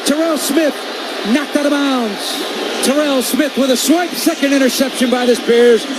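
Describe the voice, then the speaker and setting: A man with an American accent announces football play by play for radio. His voice sits well above the usual male pitch, and there is clear background noise of the venue around him. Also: normal speed. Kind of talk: live radio football commentary.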